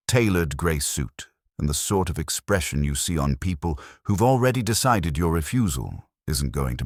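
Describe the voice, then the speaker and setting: A man narrates a detective story in an extremely low voice. His delivery is wry and wisecracking, with lively swings in intonation. The sound is dry and close, with no room around it. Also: no echo. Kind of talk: scripted first-person detective audiobook narration.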